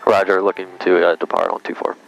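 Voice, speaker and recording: A man flying solo as a student pilot, carefully reading back clearances to the tower over the radio. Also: low-pitched, flat delivery, fast, faint music behind, rough recording.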